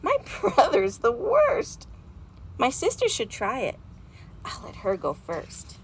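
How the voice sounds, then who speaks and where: A woman voicing a small, nervous, excitable baby robin in a dramatic storybook read-aloud. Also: a little high, takes it slowly, full character voice. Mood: nervous, hesitant. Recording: outdoor background noise.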